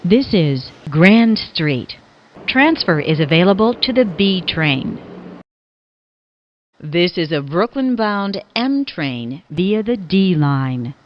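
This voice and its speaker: A woman delivers scripted transit announcements at a slow, measured pace. Her voice sits slightly low, and the phrases are formal and clipped, as if pieced together. The recording is a little dull and lo-fi. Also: big pitch swings. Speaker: subway train announcer.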